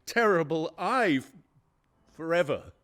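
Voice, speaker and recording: A nervous, obsessive madman in a gothic radio play. He speaks slowly and haltingly, with a stammering edge. His pitch swings dramatically between hushed secrecy and outburst. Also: expressive, slight background noise. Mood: menacing, secretive. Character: nervous, unhinged madman confessing a murder obsession. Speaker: narrator actor in a radio play.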